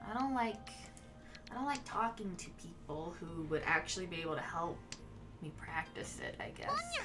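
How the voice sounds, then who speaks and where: A woman whose first language is Mandarin, chatting casually with viewers while she plays a game as a streamer, in a relaxed and musing way. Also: fairly low pitch, normal speed. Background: game music.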